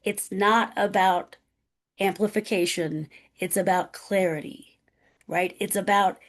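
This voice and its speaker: A woman speaking as a guest presenter, a professor with a loud, carrying voice who talks emphatically, often checking in with "right?". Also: mid-range voice, steady tone, a little slow. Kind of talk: conversational presentation.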